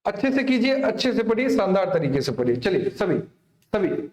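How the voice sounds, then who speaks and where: A man's voice: high-pitched, very animated, normal speed, darkish tone. A man teaching an exam coaching class, instructing students familiarly and often answering his own questions. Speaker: maths teacher. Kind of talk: exam coaching lesson, working through a problem. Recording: echoey room.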